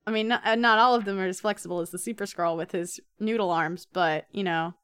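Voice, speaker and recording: A woman hosts a casual recap podcast. Her voice is medium in pitch and cleanly resonant, recorded dry. She retells the story conversationally, with playful bits of acted dialogue. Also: steady tone, fairly quick, a bit bright, clean tone, dry recording. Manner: playful dramatic reading. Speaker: podcast co-host. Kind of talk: casual chat recapping a comic book.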